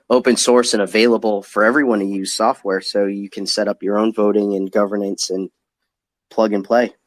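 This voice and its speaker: Guy with a lowish voice explaining stuff in a casual online interview, dry sound no room. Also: no echo. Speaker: company executive guest. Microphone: online audio chat.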